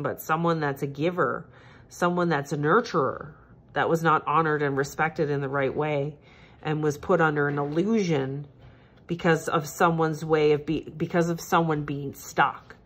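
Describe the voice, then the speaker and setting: A woman with a stuffed-up, nasal voice reading tarot cards at home in a gentle, repetitive, reassuring way. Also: sits low. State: congested, stuffed-up nose. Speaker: tarot reader. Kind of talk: casual tarot reading monologue. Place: home, at a table.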